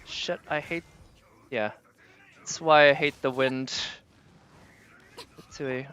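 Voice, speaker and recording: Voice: medium pitch, steady tone, takes it slowly, fairly bright tone, clear, resonant tone. A game livestreamer giving casual, off-the-cuff commentary while playing, talking to themself and swearing mildly. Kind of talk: casual live gameplay commentary.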